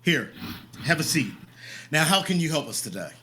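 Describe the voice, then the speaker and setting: An actor playing a sardonic, insinuating police detective in a radio play, needling and mock-polite. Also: male voice, a little high, sing-song, normal speed, sharp tone. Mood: probing, mock-polite.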